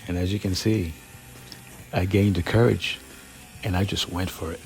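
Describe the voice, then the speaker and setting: A man's voice: deep voice, steady tone, normal speed, vocal fry, darkish tone, faint music behind. A man narrating his own DIY build in a casual, candid, informal way, like a vlogger.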